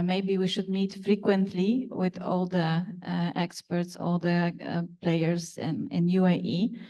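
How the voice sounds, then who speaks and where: A woman's voice: fairly low pitch, warm tone. A woman from Poland, answering on a conference panel, hesitant with many fillers and restarts. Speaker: panelist, university open science staff. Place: conference hall.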